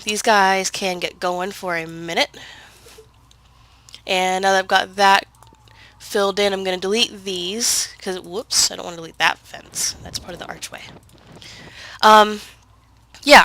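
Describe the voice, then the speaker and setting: A woman talks through her game in a loose, rambling way, full of "um"s and restarts. Her voice is congested from a cold but still bright and sharp. The sound is close and dry, with no room around it. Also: mid-range voice, no echo. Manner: chatty, rambling, full of fillers and self-corrections. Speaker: gaming video creator. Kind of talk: casual gameplay commentary.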